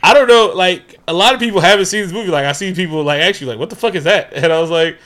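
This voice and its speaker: A man's voice: high-pitched, rapid-fire, a bit bright. A man co-hosting a casual movie review podcast, talking profanely and animatedly and riffing with laughs. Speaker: podcast co-host.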